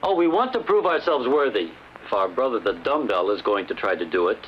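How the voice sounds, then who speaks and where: An actor playing an eager, scornful brother competing for a princess in a theatrical fairy-tale play. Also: male voice, lowish voice, sing-song, a little fast, muffled recording, a little hiss or hum. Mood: eager, mocking.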